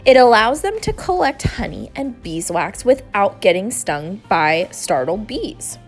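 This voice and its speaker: A woman reading a children's book aloud in an expressive, scripted narrating style. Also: on the high side. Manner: expressive, acts out dialogue. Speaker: storybook reader.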